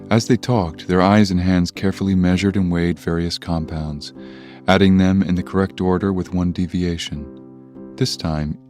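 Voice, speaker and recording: A deep-voiced man narrates a scripted story in an audio drama. Soft guitar music plays underneath. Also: fairly even intonation, moderate pace.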